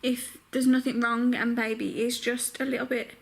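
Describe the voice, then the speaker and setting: A young, heavily pregnant woman chatting casually to her camera at home, full of filler words, her voice croaky. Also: a little high, little pitch movement, moderate pace. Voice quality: croaky morning voice. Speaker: vlogger. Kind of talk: casual vlog chat. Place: home bedroom.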